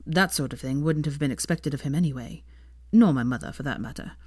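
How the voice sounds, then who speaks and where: A woman's voice: low-pitched, a little fast, a little mellow, breathy edge, no echo. A woman voicing a dry, scoffing young male teacher from a privileged family, drawling and teasing, in a story reading.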